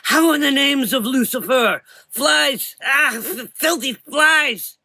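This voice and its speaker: A man playing a cowardly male villain in a gothic horror audio drama, wailing in a shrill, desperate and frantic way. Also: slow pace, bright, forceful tone, exaggerated voice. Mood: furious panic.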